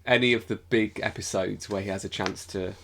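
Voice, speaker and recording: A man's voice: fairly low pitch, fairly even intonation, a little fast, gravelly, a bit bright, a little hiss or hum. Jokey male podcast co-host. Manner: jokey, playful, wry. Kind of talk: casual chat about a TV show and its fandom.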